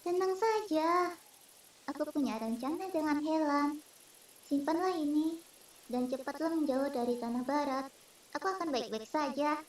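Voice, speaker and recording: A woman's voice: high voice, moderate pace, pauses often, darkish tone, natural voice. A woman voicing an earnest female spirit plotting to free her kind in a comic dub, recorded in a roomy space. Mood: earnest, reassuring. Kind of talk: Indonesian comic dub acting. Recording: roomy, distant microphone.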